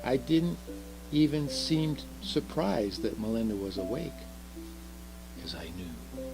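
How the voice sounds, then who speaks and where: Creaky-voiced man reading a children's story. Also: medium pitch, slow, poor audio. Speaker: storybook reader in Santa role. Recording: music behind the voice.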